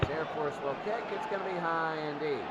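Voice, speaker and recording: Guy calling football plays, kinda high voice, super loud crowd noise. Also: fairly quick, dull audio. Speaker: play-by-play commentator. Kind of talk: live American football commentary. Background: loud crowd, stadium-like noise.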